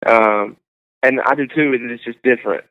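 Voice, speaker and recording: A young man, a singer-songwriter guest, chatting casually in an interview, halting and full of fillers. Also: medium pitch. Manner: halting, full of likes and ums. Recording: tinny phone-like sound.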